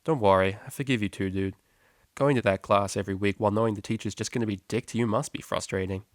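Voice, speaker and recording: A breezy young stallion acted by a man with a lowish voice. He talks in loose student slang, teasing and easygoing, in a dry storybook recording. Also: steady tone, fast, dry recording, natural voice. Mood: reassuring. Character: laid-back young male pony student, teasing, forgiving.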